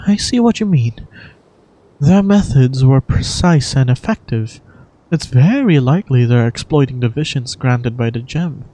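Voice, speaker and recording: Woman as a composed female guardian, measured, articulate, reassuring, expressive intonation, amateur game dubbing. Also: very deep voice, dry recording, exaggerated voice. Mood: grave, composed. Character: calm, protective adult woman guardian with visions.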